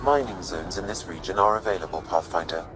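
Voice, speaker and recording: Actor as a precise ship AI, low voice, even delivery. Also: male voice, brisk, over loud music, close to an everyday voice. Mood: flat. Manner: flat announcing.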